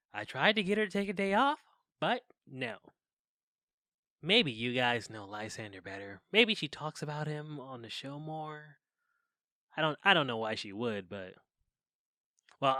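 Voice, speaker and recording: Flustered young guy, pitch swinging wildly. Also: bright, forceful tone, dry recording, studio quality. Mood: uneasy. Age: young adult. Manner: awkward, rambling, halting. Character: flustered, lovelorn young man confiding to podcast listeners. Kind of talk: audio drama acting as a confessional podcast.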